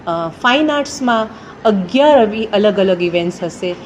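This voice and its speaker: A woman reports on an event in a mid-pitched voice, with faint ambient noise behind her. She lists details with small hesitations and slips in English terms. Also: lively intonation, normal speed, highs cut off. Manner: mixes in English terms, hesitant between items. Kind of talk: semi-prepared spoken event report.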